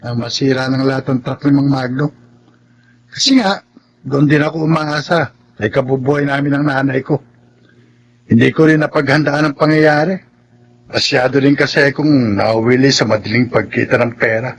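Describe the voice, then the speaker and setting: A man's voice: mid-range voice, fairly even intonation, moderate pace, slightly rough. A man with a Filipino accent playing a loyal, philosophizing errand man in a radio drama. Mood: regretful. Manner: folksy, philosophizing. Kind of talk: Tagalog radio drama acting.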